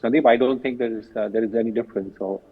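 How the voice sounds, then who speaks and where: An older man with an Indian accent giving analysis as an interview guest, speaking in halting, hesitant phrases over a remote call. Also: medium pitch, fairly even intonation, husky. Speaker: retired general, guest analyst. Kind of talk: interview commentary. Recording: muffled phone-like remote call, distant.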